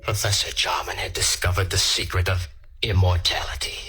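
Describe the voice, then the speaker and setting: A man's voice: deep voice, level tone, moderate pace, husky, heavily acted. A male actor playing an obsessed, scheming chemistry teacher who tells his own story in a dramatic, brooding old-time radio mystery style. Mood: uneasy, haunted. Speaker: narrator and lead actor in a radio play. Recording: vintage radio recording with loud music behind.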